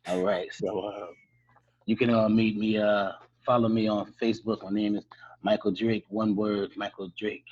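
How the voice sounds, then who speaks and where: Man co-host, husky and low-ish, laid-back, muffled lo-fi audio. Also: level tone, moderate pace, a little mellow. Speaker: podcast co-host. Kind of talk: casual chat.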